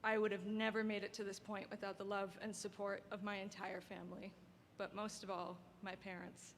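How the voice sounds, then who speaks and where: A soft-spoken young woman giving an earnest, heartfelt graduation speech as an award recipient, at a podium microphone. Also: mid-range voice, little pitch movement, a little fast. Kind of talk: formal prepared speech. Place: ceremony hall. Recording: long hall reverb, faint background noise.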